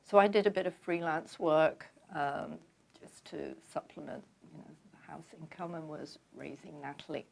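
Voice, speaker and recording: An older woman from London with a London accent, calmly telling her life story as a guest in an interview. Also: lowish voice, gravelly. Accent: London English. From London, England. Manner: measured, reflective storytelling. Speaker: guest author. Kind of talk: conversational interview.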